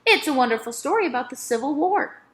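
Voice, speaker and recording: Lady doing old librarian lady from a kids book, gracious n dramatic, bright voice, very animated. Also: high-pitched, fast talker, pitched above the performer's own voice. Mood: earnest. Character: chatty elderly Southern lady librarian, gracious, theatrical.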